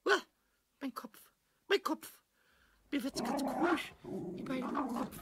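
A man playing a mischievous, childlike kobold in a children's radio play, with a squawky, creaky voice, stammering, repeating himself and slipping into sing-song rhymes. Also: extremely high pitch, slow, very noisy. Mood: dizzy, complaining. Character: mischievous, childlike little male kobold, tipsy and excitable.

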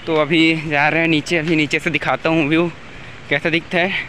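A young man from India speaks casually as a travel vlogger. His voice sits a little high for a man. He records outdoors on a phone, with noticeable rushing noise around him. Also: level tone, pushed and bright. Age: young adult. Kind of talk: casual travel vlog narration. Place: outdoors at a forested waterfall. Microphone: phone at arm's length. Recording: outdoor wind and waterfall noise.

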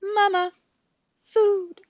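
A woman voicing a tiny newborn gosling, needy and insistent, with peeping, squeaky cries. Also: level tone, very slow, dry recording, dull audio, full character voice. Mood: plaintive. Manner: single-word exclamations.

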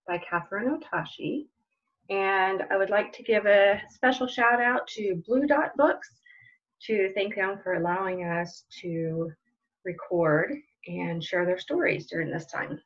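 Dull-sounding middle-aged woman, story time host. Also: medium pitch. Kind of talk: children's story time read-aloud and craft instructions. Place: indoors in a room at home. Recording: dull, lo-fi home webcam audio.

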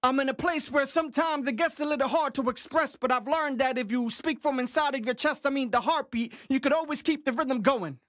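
A man in his thirties, a native Spanish speaker, freestyles in rhythmic, chanting lines with spoken drum beats. His delivery sits high, and the booth is completely dry, with no room sound on the studio mic. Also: brisk, hardly any pauses, fairly bright tone, dry recording. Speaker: rapper. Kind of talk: freestyle rap performance mixing English and Spanish. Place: small recording booth. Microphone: studio condenser mic with headphones.

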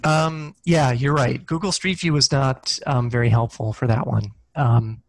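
Relaxed middle-aged man on a headset. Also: medium pitch, a little slow, gravelly, no room sound. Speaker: author guest. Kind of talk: casual interview chat. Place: small room with a corkboard. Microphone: headset mic on a video call.

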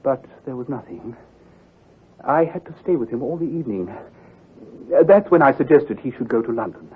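An actor playing an earnest, troubled country doctor who speaks gravely and precisely, with some hesitation, in old-time radio drama style. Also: male voice, medium pitch, expressive, moderate pace, slight background noise, close to an everyday voice. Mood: troubled, recounting. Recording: muffled, husky old radio recording.

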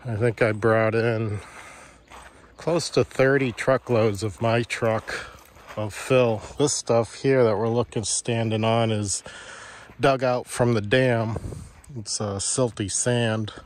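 A man's voice: medium pitch, fairly even intonation, takes it slowly, slight background noise. A man giving a plain, matter-of-fact walkthrough of his building site, filming on a handheld phone outdoors. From Vermont. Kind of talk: casual walkthrough narration. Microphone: handheld phone camera.

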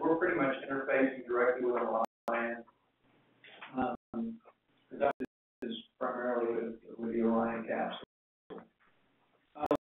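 An American man giving a conference presentation in a hesitant, halting way. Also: mid-range voice. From East Coast of the United States. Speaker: keynote speaker, aerospace engineer. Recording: distant, echoey, narrow phone-like sound, faint noise.

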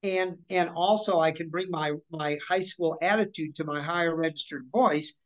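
A woman in her forties, speaking over a video call from her living room. She tells her stories in a lively, chatty way, breaking off and restarting mid-sentence. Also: on the low side, moderate pace. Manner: chatty, animated, with many restarts and hand gestures. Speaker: guest voice actor. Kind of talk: casual interview storytelling.

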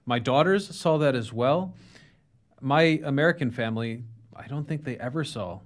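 A man's voice: mid-range voice, normal speed. A man hosting a podcast interview from a studio, reflecting on the guest's points with his own experiences. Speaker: podcast host. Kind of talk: casual interview. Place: studio with neon sign. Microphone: headphones and studio mic.

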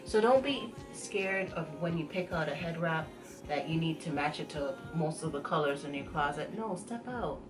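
A young woman from Uganda giving a casual tutorial as a guest, explaining in a halting, conversational way. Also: sits low, animated. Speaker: guest head wrap vendor giving tutorial. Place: small indoor room, sequin backdrop. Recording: music playing behind the voice.

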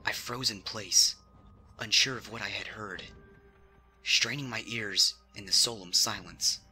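A man's voice: lowish voice, a little slow, sharp tone. A man reading a scripted horror story in a suspenseful, theatrical way. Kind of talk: dramatic scripted horror narration. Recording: music under the reading. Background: background music.

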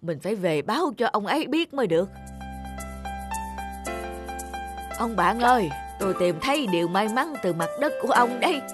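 A woman's voice: medium pitch, big pitch swings, quick pace, music in the background, natural voice. A woman voicing an honest, simple old male farmer in a cartoon folktale, earnest and kindly. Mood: wondering, earnest. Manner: earnest, good-natured. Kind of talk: cartoon folktale character acting.

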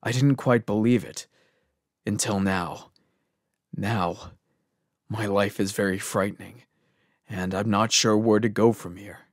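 A man playing a worried, weary young fantasy adventurer in an earnest, measured dramatic monologue. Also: lowish voice, steady tone, no echo, clean studio sound. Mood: somber, fearful.

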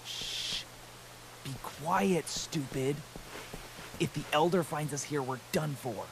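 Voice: medium pitch, takes it slowly, vocal fry, noisy background. An actor voicing a bossy, scornful village boy in a video game. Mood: hushed, bossy.

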